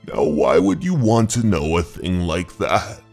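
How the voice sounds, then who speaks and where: A man's voice: sits low, expressive, darkish tone, voice pushed down. A man playing a desperate, aging husband obsessed with rescuing his lost wife, in a dramatic horror manga dub. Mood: haunted, weary. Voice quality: gravelly, husky. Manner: dramatic, halting monologue. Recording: voice over background music.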